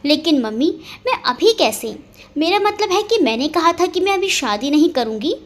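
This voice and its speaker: Woman playing a soft-spoken, high-pitched young daughter. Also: big pitch swings, normal speed. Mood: hesitant, protesting.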